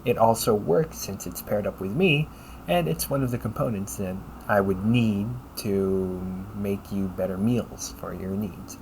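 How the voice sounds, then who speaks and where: A man playing a polite, caring, gently teasing AI companion in an intimate audio roleplay, speaking formally to the listener. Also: lowish voice, unhurried, vocal fry, rough recording. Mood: playful teasing. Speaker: voice actor. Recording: noticeable background noise.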